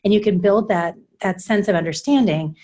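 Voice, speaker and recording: A woman presenting a workshop, explaining points in a measured way. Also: medium pitch, dark tone. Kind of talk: leading a workshop discussion.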